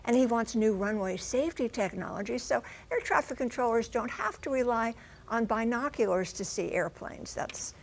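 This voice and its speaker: High-voiced woman, emphatic news correspondent. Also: normal speed. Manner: emphatic, folksy exclamations, rhetorical questions. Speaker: TV transportation correspondent. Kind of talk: broadcast news report with live studio discussion.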